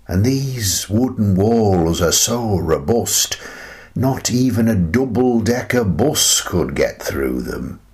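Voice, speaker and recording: A wry, contented older male recluse, played by an actor in a radio drama monologue. His voice sits low and creaks with fry. He takes his time, chatting and musing with gentle amusement at a very unhurried pace. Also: exaggerated voice.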